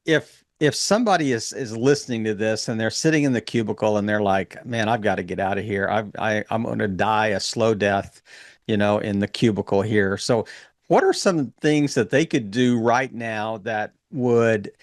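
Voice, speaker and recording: Low gravelly-voiced male podcast host. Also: moderate pace, no room sound, clean studio sound. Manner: rambling, many fillers and restarts. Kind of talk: casual interview chat.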